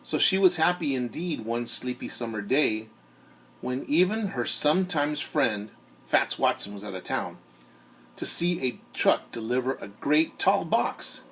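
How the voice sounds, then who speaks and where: A man who is a teacher reads a storybook aloud to children in an expressive way, often turning to ask his listeners questions. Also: medium pitch, a little slow, slightly rough, low-quality recording. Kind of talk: animated storybook read-aloud with asides to young listeners.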